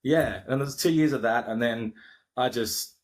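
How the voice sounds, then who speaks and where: A man's voice: mid-range voice, normal speed. An Australian man, a podcaster and coach, talking in a casual, rambling way with lots of fillers and restarts over a video call. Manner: laid-back, rambling with frequent fillers and restarts. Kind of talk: casual interview chat.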